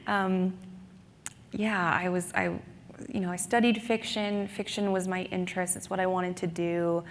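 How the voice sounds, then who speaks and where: A woman speaking on a writers' panel, reflective and halting, with many fillers. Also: fairly low pitch, flat delivery, long reverb tail, a little hiss or hum. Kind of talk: panel discussion. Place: conference stage.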